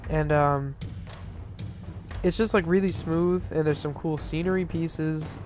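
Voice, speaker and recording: A man's voice: a little high, fairly even intonation, a little slow, darkish tone. A man with a stuffy, nasal voice giving casual, rambling commentary over gameplay, full of fillers and restarts. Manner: rambling, filler-heavy, self-correcting. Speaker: map reviewer. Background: faint background music.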